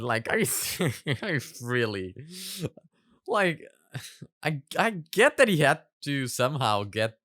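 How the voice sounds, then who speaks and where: A man with a smooth, fairly low voice gives casual reaction commentary. He talks in halting bursts, repeating words for emphasis, close on the mic with no room sound. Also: fairly bright tone, no room sound. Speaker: reaction video host.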